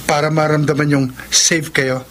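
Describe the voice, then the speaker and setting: An actor plays a young Filipino office man in a radio drama, polite and protective. His voice sits a little high, earnest and deferential, over clearly noisy ambience. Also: flat delivery, moderate pace, fairly bright tone, natural voice. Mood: tender.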